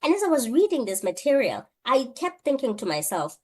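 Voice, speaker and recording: A woman's voice: high-pitched, very animated, a little fast, a little mellow. Earnest woman thinking aloud. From South Africa. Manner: earnest, thinks aloud with rhetorical questions and frequent restarts. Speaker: guest, PhD candidate and lecturer. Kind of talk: reflective interview answer.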